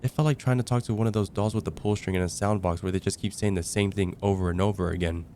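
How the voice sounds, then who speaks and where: A young man playing a casual heartbreaker friend confiding about dating in a conversational, hesitant ASMR roleplay, with sighs and trailing pauses. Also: sits low, fairly even intonation, mellow, slight background noise, lightly acted. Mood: let down. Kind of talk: ASMR roleplay, acted one-sided conversation.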